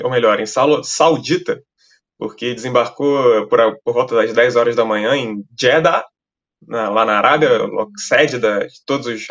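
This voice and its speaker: Male sports reporter, mid-pitched, jokey and halting, dull lo-fi audio. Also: fairly bright tone. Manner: joking, halting with restarts. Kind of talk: casual podcast chat.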